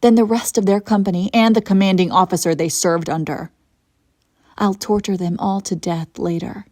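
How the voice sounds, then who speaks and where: A woman playing an imperious evil empress in an audio roleplay, coolly seductive and menacing, with theatrical, deliberate phrasing. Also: medium pitch, steady tone, a little mellow, no room sound, studio quality, close to an everyday voice. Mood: furious, commanding. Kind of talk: scripted audio roleplay acting.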